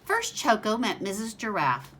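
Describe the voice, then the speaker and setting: A woman teacher reading a picture book aloud to young children in an expressive, simple, child-directed way. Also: mid-range voice, normal speed, slightly rough.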